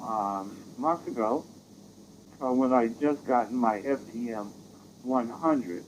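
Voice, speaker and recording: An American man chatting casually as an amateur radio operator, rambling and halting, heard through a digital radio transmission. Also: fairly low pitch. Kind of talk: casual radio contact chat. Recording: dull, noisy digital radio audio.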